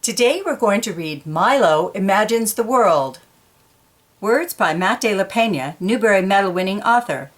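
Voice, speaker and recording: Woman, medium pitch, picture book storytelling, conversational asides, addressing children. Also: animated, normal speed. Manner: explains pictures in informal asides between read lines. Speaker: picture book read-aloud reader.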